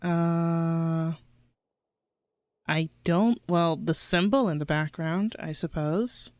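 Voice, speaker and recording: A woman's voice: fairly low pitch, fairly even intonation, slow, darkish tone, no room sound. A woman streamer giving casual, exclamatory reactions as she plays a video game. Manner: exclamatory, giggly.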